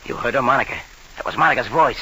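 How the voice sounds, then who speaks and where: Gravelly, suspicious gangster boss. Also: male voice, mid-range voice, fast, pushed and bright, rough recording. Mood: rattled, menacing. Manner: gruff, clipped gangster slang. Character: hard-boiled male gangland boss, suspicious, rattled by guilt. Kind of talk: old-time radio crime drama acting. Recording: hissy vintage radio recording.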